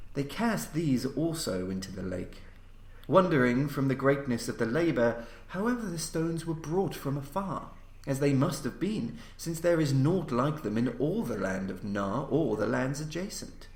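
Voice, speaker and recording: A man narrates an old-fashioned horror story with formal, measured delivery. His voice sits at an average male pitch. The recording is noticeably roomy, with an echo around the words. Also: a little mellow. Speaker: podcast host and story reader.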